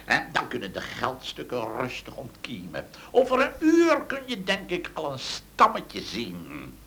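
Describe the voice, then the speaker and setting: A man playing a sly, flattering fox swindler in a children's audio play, oily, coaxing and theatrical. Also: medium pitch, measured pace, vocal fry, slightly rough, full character voice. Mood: sly, hurried farewell.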